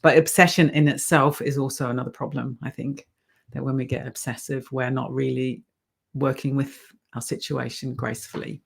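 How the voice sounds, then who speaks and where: A man's voice: medium pitch, gravelly, breathy edge. A man speaking on an online panel, thoughtful and earnest, over a video call. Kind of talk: reflective panel talk.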